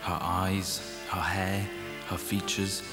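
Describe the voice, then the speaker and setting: Slow, low-voiced brooding poet. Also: male voice, flat delivery, reverberant, over music. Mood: mournful. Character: brooding male Romantic poet, tender and remorseful. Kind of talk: literary verse recitation in radio drama.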